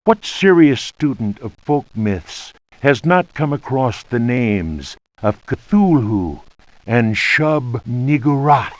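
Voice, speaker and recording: An actor voicing a stern churchman in a video game, reading an old book of warnings about evil in a solemn, ominous, deliberate manner. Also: mid-range voice, very animated, no room sound. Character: stern male churchman warning of devilish cults.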